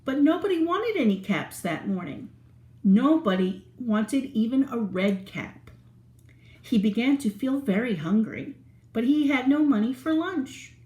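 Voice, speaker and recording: A woman's voice: fairly high pitch, big pitch swings, a little slow, mellow, roomy sound. A woman reading a picture book aloud to young children in a playful, sing-song way, stopping to ask them questions. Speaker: children's storyteller.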